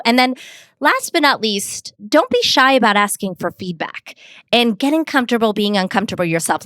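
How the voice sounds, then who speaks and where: Smooth-voiced woman explaining with confidence. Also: fairly high pitch, a bit bright, no room sound, studio quality. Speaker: communications expert guest. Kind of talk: interview answers, advice with examples.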